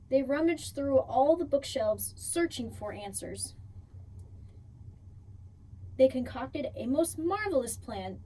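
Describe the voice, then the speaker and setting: A woman reading a picture book aloud for children in an expressive storytelling style, drawing out key words. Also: high-pitched. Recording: distant mic, faint background noise.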